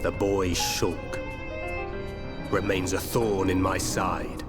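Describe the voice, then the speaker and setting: An actor playing a cold, imperious villain lord in a video game, speaking in a pompous, menacing manner. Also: male voice, sits low, flat delivery, over music. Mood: cold menace. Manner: slow, menacing, pompous delivery.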